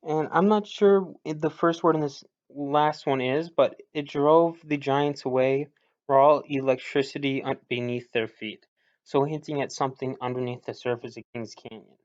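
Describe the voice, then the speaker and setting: A man's voice: a little high, measured pace. A man giving informal, slightly hesitant explanatory commentary on video game voice lines, thinking aloud as he goes. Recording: dull lo-fi recording.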